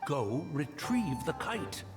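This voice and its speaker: A man's voice: a little high, sing-song, slow, music in the background. A man playing a stern, worried immortal in a video game, scolding in pompous, archaic language. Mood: alarmed scolding. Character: stern, anxious, scolding male immortal adeptus. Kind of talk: video game cutscene acting.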